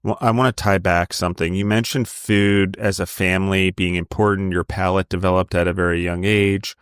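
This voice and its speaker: A man's voice: sits low, level tone, a little fast, fairly bright tone, clear, resonant tone, no echo, clean studio sound. A man hosting an interview podcast, praising his guest effusively before asking his question. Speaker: podcast host.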